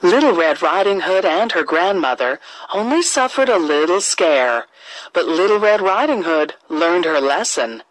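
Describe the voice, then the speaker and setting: Slow, very animated woman storyteller. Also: lowish voice, dry recording, poor audio. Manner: deliberate, expressive phrasing for young children. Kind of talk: scripted children's fairy tale narration.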